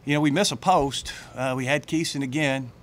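A middle-aged man, a head football coach, answering questions at a postgame press conference in plain, halting speech full of fillers and restarts, into a table mic. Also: medium pitch. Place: press room with branded backdrop. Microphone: table mic on a stand.

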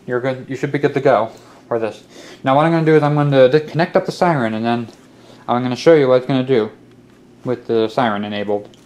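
Man, medium pitch, casual step-by-step tutorial, instructional, handheld camera sound, background noise with clicks. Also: fast talker, darkish tone. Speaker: host of a hobby electronics how-to video. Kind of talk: casual hands-on tutorial narration. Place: cluttered indoor workshop or utility room. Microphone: handheld camera microphone.

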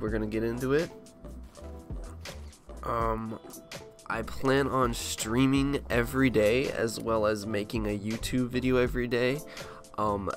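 Young male streamer, congested nasal voice, meandering, music underneath. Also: lowish voice. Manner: rambling, many ums and restarts. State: nasal from a cold. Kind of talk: casual talk to camera over gameplay. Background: background music.

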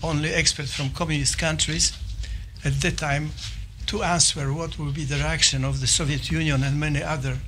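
An older man from Poland with a Polish accent, giving a formal presentation and often pausing with fillers and restarts. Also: fairly high pitch, fairly even intonation, fairly bright tone, a little hiss or hum. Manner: halting, with many fillers and restarts. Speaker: visiting scholar presenting. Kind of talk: formal presentation to a small audience. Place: office meeting room.

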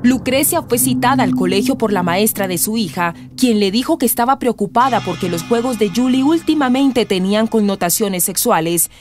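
A woman's voice: on the high side, animated, normal speed, few pauses. Colombian female narrator over music. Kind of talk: scripted radio drama narration.

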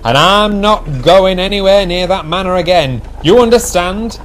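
A man's voice: medium pitch, big pitch swings, moderate pace, a little mellow, slight background noise, close to an everyday voice. A man voicing a firm, reassuring engine driver in a children's story, speaking emphatically. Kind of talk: children's storybook character acting.

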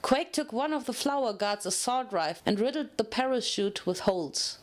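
A woman's voice: a little high, animated, moderate pace. Woman narrating a dramatic story.

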